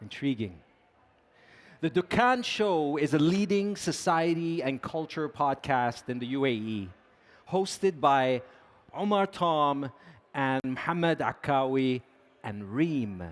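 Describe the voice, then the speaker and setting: A man acting as event moderator, introducing speakers on stage in a deliberate, slightly halting way. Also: fairly high pitch, animated.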